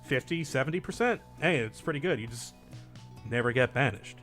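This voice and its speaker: A man's voice: mid-range voice, animated, a little fast, a bit bright. A young man hosting a group let's play, casually recapping the story and explaining game mechanics with jokes. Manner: laid-back, joking, explains game mechanics. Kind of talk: casual group gameplay chat. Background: game music.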